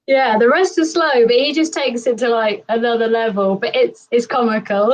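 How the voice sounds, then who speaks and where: A woman hosting a live stream, upbeat and quick to laugh. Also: fairly high pitch, far from the mic, poor audio. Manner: bright, giggly, upbeat. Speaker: host. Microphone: video call.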